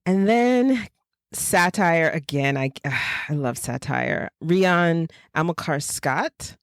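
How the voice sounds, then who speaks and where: A woman's voice: sits low, animated, takes it slowly, dry recording, studio quality. A woman from Florida chatting casually and enthusiastically about books as a podcast co-host, with emphatic praise. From Jacksonville, Florida, United States. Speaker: podcast co-host, fiction writer. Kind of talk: casual book chat.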